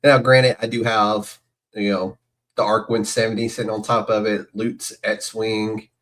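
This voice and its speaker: A man hosting a podcast, chatting in a rambling, excitable way as a fan. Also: on the low side, measured pace. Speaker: podcast host. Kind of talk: casual podcast interview.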